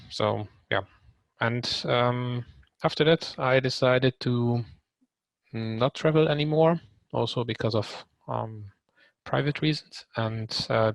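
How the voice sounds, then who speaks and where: A man in his thirties from Hungary speaks on a video call with a gravelly edge to his voice. He talks with many ums and short pauses, and the sound is dry and close. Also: mid-range voice, dry recording. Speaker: trainee introducing himself. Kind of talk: casual self-introduction on a video call.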